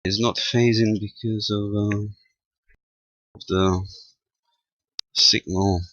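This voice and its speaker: A man giving a casual, unscripted technical demonstration as a radio hobbyist, talking haltingly and half muttering to himself as he works. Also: lowish voice, flat delivery, a bit bright, dry recording, dull audio. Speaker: amateur radio operator demonstrating equipment.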